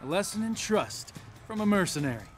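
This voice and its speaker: A man's voice: sits high, big pitch swings, brisk, soft background music. A man playing a confident, studious young warrior monk in a video game, blunt and a bit cocky. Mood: indignant.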